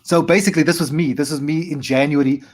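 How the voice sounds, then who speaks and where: South African man telling a lively story. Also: a little high. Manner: animated, acts out his past self in quick asides. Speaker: comedian guest. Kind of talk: casual storytelling interview.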